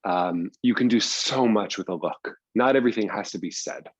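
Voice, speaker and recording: A man's voice: lowish voice, moderate pace, dry recording, rough recording. A man answering as an interview guest in a conversational, explanatory way, stressing points by repeating them. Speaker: guest, screenwriter and audio drama producer.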